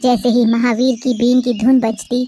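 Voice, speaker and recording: High-voiced woman narrating a cartoon tale. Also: normal speed, a little hiss or hum. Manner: steady, storytelling cadence. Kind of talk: scripted storybook narration.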